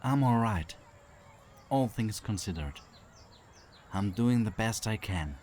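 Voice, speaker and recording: A man playing a gentle, protective man from Germany who speaks in a soft, consoling way. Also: on the low side, lively intonation, takes it slowly. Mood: subdued.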